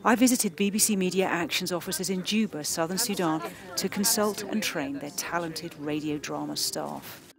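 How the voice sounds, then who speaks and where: A woman with a British accent narrating a documentary about radio drama training in a measured, informative way. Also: fairly low pitch, gravelly, music in the background.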